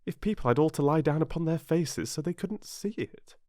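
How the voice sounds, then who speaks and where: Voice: mid-range voice, lively intonation, fairly quick, darkish tone, no room sound, clean studio sound, pitched above the performer's own voice, natural voice. A storyteller voicing a young girl who is polite but plucky and decided. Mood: thoughtful.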